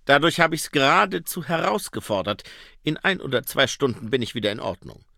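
A man doing a dutiful young female sergeant, pitched above his own voice and pushing forward with earnest insistence. The sound is close and dry. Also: sing-song, fairly quick, vocal fry, sharp tone, dry recording, heavily acted. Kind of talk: audiobook reading.

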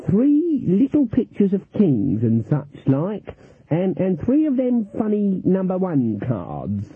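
A man's voice: high voice, huge pitch swings, slow, slightly rough, voice pushed up, exaggerated voice. A man voicing an old woman witch who plays at sweet innocence, in a fluttery, dithering way. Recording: thin phone-like sound.

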